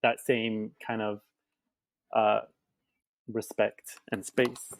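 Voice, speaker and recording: A young man from the United States, a guest on a podcast, talking casually with lots of "like" and letting his sentences trail off softly. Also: fairly low pitch, level tone, very slow. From USA. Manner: casual, many "like" fillers, soft trailing delivery. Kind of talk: casual conversation.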